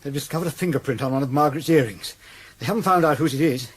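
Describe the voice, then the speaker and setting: A man playing an eager, helpful ally of amateur sleuths in a radio crime drama, with a husky voice. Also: fairly high pitch, rapid-fire. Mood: eager, inquisitive.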